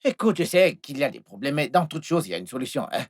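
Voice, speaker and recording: A man's voice: sits high, very animated, quick pace, fairly bright tone, slightly rough, exaggerated voice. A man playing a volatile, scheming husband in a film melodrama, repeating himself and stammering through his words. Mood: coaxing, placating. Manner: repetitive, stammering delivery. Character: volatile, scheming unfaithful husband with a short temper.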